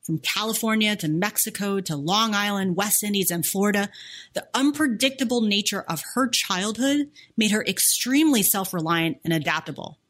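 A woman's voice: medium pitch, expressive, moderate pace. A woman in her forties hosting a podcast, moving from a prepared introduction into eager interview questions. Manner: enthusiastic, warm questioning. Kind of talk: scripted intro then interview. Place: guest's home.

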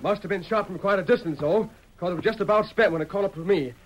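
Fast, high-pitched excited cowboy. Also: male voice, rough recording. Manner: hurried rustic speech. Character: breathlessly excited cowboy with alarming news. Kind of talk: 1940s radio western acting.

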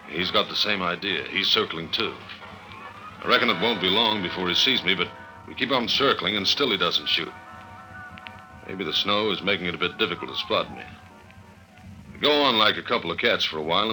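A man's voice: low voice, a little fast, pushed and bright, faint music behind, poor audio. An actor playing a tough, wry detective agent who narrates his case in clipped slang, in the style of an old-time radio drama. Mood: tense, hushed. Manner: clipped, slangy, hard-boiled. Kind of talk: old-time radio drama acting, hard-boiled first-person narration. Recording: vintage radio drama recording.

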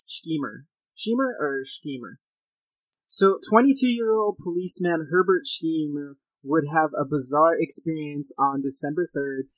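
A man's voice: fairly high pitch, fairly bright tone, no echo, poor audio. A man of thirty hosting a podcast in a casual, informal and self-deprecating way. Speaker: podcast host. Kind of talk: casual podcast talk and reading of accounts. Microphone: headset, recording at own setup.

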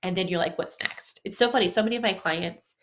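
A woman's voice: mid-range voice, quick pace, fairly bright tone. A woman hosting a solo podcast who sounds nasal and stuffed up from a head cold. She talks in a chatty, upbeat way, coaching her listeners directly with casual fillers and restarts. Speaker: podcast host.

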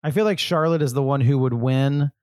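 A man co-hosting a podcast in casual chat, eager to share facts and quick to laugh. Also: mid-range voice, a little mellow, dry recording, clean studio sound. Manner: chatty, shares trivia facts, laughs often. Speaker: podcast co-host.

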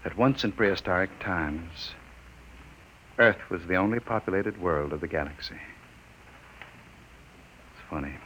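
A low, forceful male voice of a desperate scientist behind bars. He is a classic 1950s radio drama lead. Intense and bright-edged. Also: a little slow, pauses often, a little hiss or hum, low-quality recording. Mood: despairing. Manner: shouting in fury, later quiet and despairing. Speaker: lead actor.

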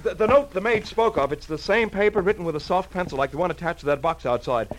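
A man's voice: high voice, fast, lightly acted. A man playing an anxious, polite bridegroom, hesitant and stammering, in a 1940s radio drama. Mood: nervous, agitated. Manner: fretful, hesitant, stammering, formal. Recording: noisy vintage radio recording.

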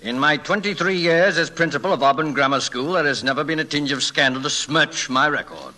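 A man's voice: a little high, a little fast, sharp tone. A man with an American accent playing a stern, prim older school principal with a formal, pompous manner in a classic radio drama. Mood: stern, threatening.

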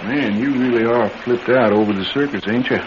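An actor playing a surly, menacing young drifter in a radio drama, talking in curt, insolent slang. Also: male voice, medium pitch, fairly quick, lightly acted. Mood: scornful. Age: young adult. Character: surly young male drifter, menacing, impatient, uneducated tough. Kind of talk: classic radio drama acting. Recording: muffled, noisy vintage radio recording.

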